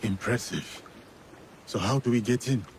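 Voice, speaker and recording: A man's voice: mid-range voice, unhurried, slight background noise, natural voice. A man playing a plainspoken, loyal warrior in a video game, with terse, direct delivery. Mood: businesslike. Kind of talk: video game cutscene voice acting.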